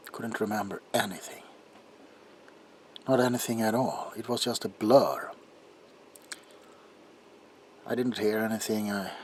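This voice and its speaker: A man playing an anguished, guilt-ridden doctor confessing in an ASMR roleplay monologue, halting and intense, with a breathy voice that often drops into a whisper. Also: fairly low pitch, slight background noise, close to an everyday voice. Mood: shaken, confessing. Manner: halting, haunted, intense, broken phrases.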